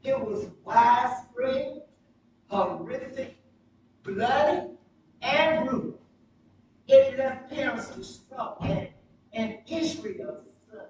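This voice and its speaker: A woman's voice: high-pitched, expressive, darkish tone, lo-fi sound. Woman preacher in a very echoey space. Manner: emphatic, dramatic oratory with deliberate pauses. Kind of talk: sermon. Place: church sanctuary during a live service. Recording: very echoey, distant mic, noisy church livestream.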